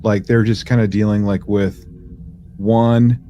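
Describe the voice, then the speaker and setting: A man with a slightly low voice hosts a casual podcast. He talks conversationally and haltingly, feeling his way through sentences. There is faint noise in the recording. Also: flat delivery, highs cut off. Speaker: podcast host.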